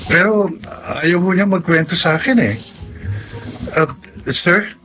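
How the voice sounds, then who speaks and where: An eager, respectful young man in a radio drama. His voice sits slightly high, and he talks earnestly and deferentially, with faint music underneath. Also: unhurried, sharp tone, low-quality recording. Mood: deferential, nervous. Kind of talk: Tagalog radio drama acting.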